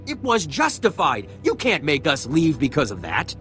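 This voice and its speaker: An entitled game settler who won't budge. His voice is on the high side and swings widely in pitch. Some music plays behind him. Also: huge pitch swings, moderate pace, a bit bright. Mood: indignant, entitled. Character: entitled, stubborn male settler claiming family land. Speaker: voice actor in a video game. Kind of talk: scripted game cutscene dialogue.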